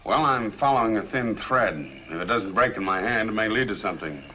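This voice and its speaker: A big easygoing noir detective, forceful bright voice, old movie hiss. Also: male voice, fairly low pitch, lively intonation, a little fast, low-quality recording. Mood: probing. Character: portly, shrewd, easygoing male private detective. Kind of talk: 1950s film noir acting. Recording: faintly hissy old film soundtrack.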